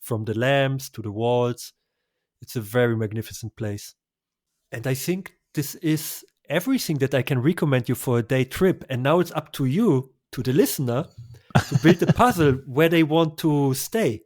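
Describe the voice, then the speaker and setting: A man's voice: mid-range voice, moderate pace, a little mellow, dry recording. Enthusiastic male travel guest, dry sound. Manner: enthusiastic, effusive recommendations with small laughs. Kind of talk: conversational travel interview.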